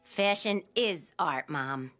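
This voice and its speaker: A woman's voice: lowish voice, animated, slow, a bit bright. A woman voicing a haughty, sassy teenage monster girl in a cartoon, snippy and dismissive, with music behind her. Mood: defiant. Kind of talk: animated cartoon acting.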